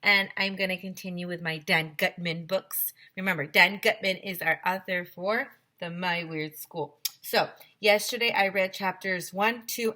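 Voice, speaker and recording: A woman reading a children's book aloud as a teacher to her class, with deliberate, expressive storytelling. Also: lowish voice.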